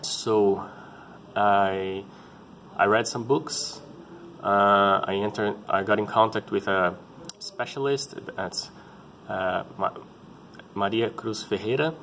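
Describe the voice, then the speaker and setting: A man in his thirties with a Brazilian Portuguese accent, speaking English as a second language, telling his story in a halting and earnest way, often restarting to find words. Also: on the low side, fairly even intonation, fairly bright tone, a little hiss or hum. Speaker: parent sharing his experience. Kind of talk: casual personal vlog talk.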